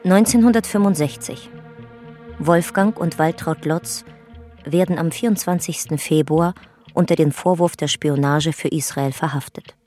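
An actress as the narrator of a historical radio drama, reading a chronicle in a sober, measured documentary manner. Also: female voice, low-pitched, mellow, faint music behind.

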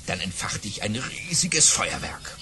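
Boastful baron, wildly animated delivery. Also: male voice, on the low side, unhurried. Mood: boastful, suspenseful. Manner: grandiose, dramatic, boastful. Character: boastful baron telling tall tales of his exploits. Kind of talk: theatrical audio play storytelling.

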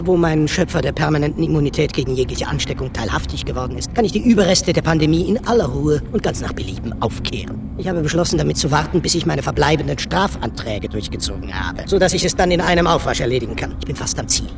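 A man's voice: on the high side, lively intonation, fast, creaky, few pauses. An actor playing a misanthropic artificial intelligence villain in male guise, condescending and sardonic, in a radio drama. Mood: smug.

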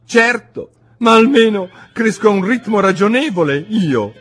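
A man's voice: high voice, animated, moderate pace, a bit bright, soft background music, pitched above the performer's own voice. A man voicing an indignant, whiny little dormouse in a storybook reading.

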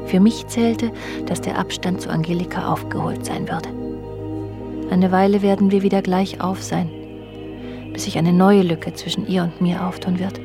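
A woman's voice: on the low side, fairly even intonation, normal speed, natural voice. An actress playing an introspective, bitterly observant woman narrating her youth in a radio drama, sober and intimate. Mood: detached, bitter. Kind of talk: literary first-person radio drama narration. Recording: music behind the voice. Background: music.

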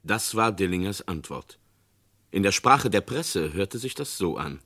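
A man narrating a radio crime drama in a measured, documentary way. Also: low-pitched, animated, pushed and bright.

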